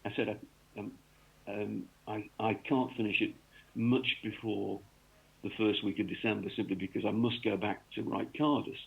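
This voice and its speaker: A man's voice: fairly low pitch, fairly even intonation, normal speed, vocal fry, slightly rough. A man from North East England, a cricket author, talking through his work in a rambling radio interview full of ums and restarts. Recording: tinny phone line.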